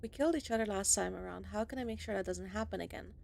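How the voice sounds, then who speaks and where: Creaky-voiced woman playing a game. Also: medium pitch, fast, a little mellow, a little hiss or hum. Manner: sarcastic, talks back to the game. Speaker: gaming streamer. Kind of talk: casual let's-play commentary. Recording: faint game music behind.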